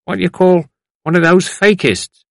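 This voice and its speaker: A chatty old countryman from a storybook, pitched high and swooping as he reminisces. He rambles, repeats himself and stumbles over words in a rustic way, in a close, dry recording. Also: lively intonation, unhurried, a bit bright, no echo, exaggerated voice. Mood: rambling, reminiscing. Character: garrulous, rambling old village man, eager to reminisce.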